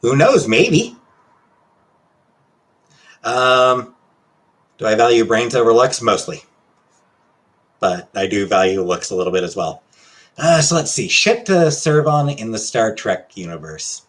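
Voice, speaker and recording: A man with a Canadian accent chatting casually on a livestream as he answers questions, halting and hesitant, often trailing off. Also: medium pitch, expressive, fairly bright tone. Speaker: livestream host.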